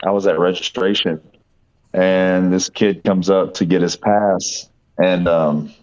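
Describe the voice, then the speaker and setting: A deep-voiced man who organizes a convention, a little hoarse from talking all weekend. He speaks earnestly and repeats his phrases, and he comes through a dull, lo-fi call line. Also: steady tone, unhurried, darkish tone.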